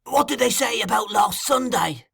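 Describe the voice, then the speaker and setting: A man voicing a cunning, raging old male crime boss in an audiobook, insistent and feverish, building to shouts. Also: extremely high pitch, animated, moderate pace, vocal fry, breathy edge, voice pushed up, exaggerated voice. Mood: frenzied. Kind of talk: audiobook character acting.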